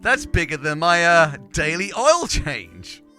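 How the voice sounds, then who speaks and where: A man acts out a long-winded robot doctor from a comic audio cartoon. The voice is fairly high and swings animatedly as it rambles in a chummy, hesitant way. Music plays behind it. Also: sing-song, slow pace, pushed and bright, full character voice. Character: rambling, chummy male robot doctor telling tall tales. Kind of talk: comic audio drama acting.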